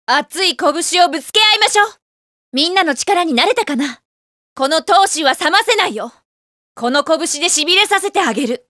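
A woman's voice: very high voice, no room sound, clean studio sound, exaggerated voice. A woman playing a spirited, determined young female martial artist in a video game, calling out punchy battle lines. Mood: confident. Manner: energetic, punchy shouts and short battle cries.

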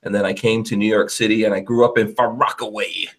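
Laughing, animated podcast host man. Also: fairly low pitch, fast, gravelly, slightly rough. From Guyana. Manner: animated, joking storyteller who laughs often. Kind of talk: casual chat. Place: home studio room. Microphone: headset on a video call.

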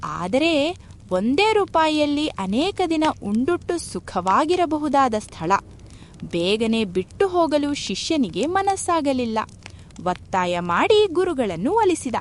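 A woman's voice: high-pitched, very animated, takes it slowly, slight background noise. A woman narrating a moral folk tale in a scripted storytelling style.

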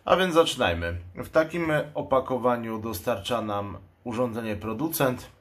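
A man giving a measured, matter-of-fact unboxing commentary as a channel host. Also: medium pitch, animated. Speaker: product reviewer and channel host. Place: indoors at a table. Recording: clear background noise, crinkling packaging. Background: noise, plastic packaging crinkles and clicks.

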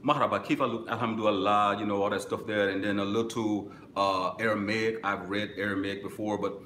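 A middle-aged man with a deep voice, hosting a live-stream webinar and giving direct, instructive answers to viewer questions from a small office. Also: steady tone, brisk, a bit bright, echoey room, slight background noise. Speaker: music business webinar host. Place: small office with corkboard, indoors.

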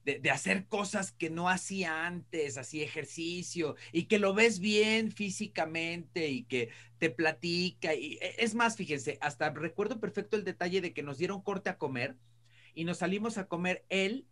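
High-pitched middle-aged Mexican man chatting. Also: normal speed, sharp tone. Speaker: talk show co-host. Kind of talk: casual anecdotal chat. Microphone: video call.

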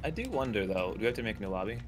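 A man's voice: on the low side, sing-song, racing, music in the background. A man talking casually with friends and commenting on the action as he plays a video game. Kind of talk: casual chat and play-by-play while gaming with friends.

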